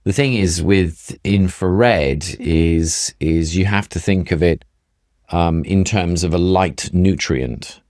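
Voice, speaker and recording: A man's voice: deep voice, slow pace, a little mellow, no echo, clean studio sound. A middle-aged or older man explaining things conversationally as a doctor, emphatic and repeating points for stress. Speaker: functional medicine doctor, guest.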